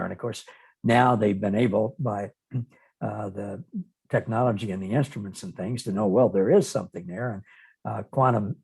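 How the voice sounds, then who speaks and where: A man interviewing in a reflective, conversational style, speaking haltingly and thoughtfully and often repeating words. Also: on the low side, creaky, darkish tone, breathy edge, poor audio.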